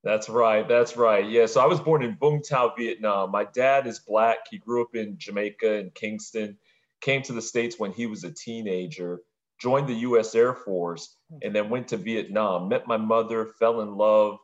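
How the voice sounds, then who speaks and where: Middle-aged man, from Brooklyn, low voice, dull lo-fi sound, reflective interview guest. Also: steady tone, moderate pace. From Brooklyn, New York. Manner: reflective, speaks in long winding sentences. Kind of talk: podcast interview.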